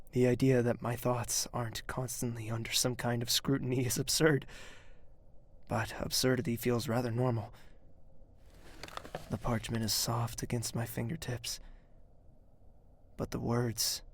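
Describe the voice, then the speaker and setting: An actor playing a weary, grieving young king in an audio drama, speaking in a measured, introspective, hushed way. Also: medium pitch, husky. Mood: somber, brooding. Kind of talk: dramatic first-person narration and dialogue in audio drama.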